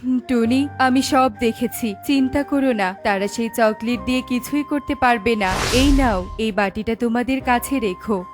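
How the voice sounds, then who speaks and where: A woman voicing a kind, calm fairy in a cartoon fairy tale, measured and reassuring. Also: fairly high pitch, music in the background, close to an everyday voice. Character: kind calm female fairy giving magical gifts.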